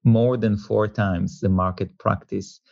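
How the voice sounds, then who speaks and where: A man's voice: lowish voice, fairly even intonation, slow pace, vocal fry, darkish tone, no room sound, dull audio. A man who is not a native German speaker, a company executive giving earnest interview answers that mix English and German words. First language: not German; father is German. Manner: earnest, repeats words, searches for phrasing. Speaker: insurance company executive guest. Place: office in Hamburg.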